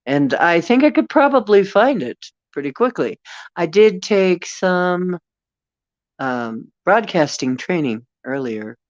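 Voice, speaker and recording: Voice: medium pitch, sing-song, takes it slowly, no room sound, muffled recording. An older host with a Northeastern Ohio accent, chatting casually and expressively, laughing often and trailing off with fillers. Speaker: channel host. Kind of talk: casual livestream chat.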